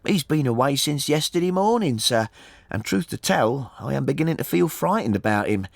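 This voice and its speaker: A man's voice: mid-range voice, lively intonation, moderate pace, gravelly, no room sound, clean studio sound, pitched above the performer's own voice. A man reading an audiobook, voicing an anxious, talkative working-class married woman who speaks colloquially and deferentially. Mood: worried, chatty. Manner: chatty, colloquial, deferential, saying sir often. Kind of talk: audiobook character voice.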